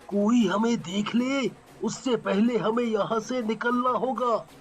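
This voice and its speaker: A man's voice: very high voice, takes it slowly. A man playing an anxious, frightened young man in a cartoon horror story, with exaggerated acting. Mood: alarmed, worried. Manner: stammering when scared, exaggerated. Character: frightened young male friends, anxious and pleading.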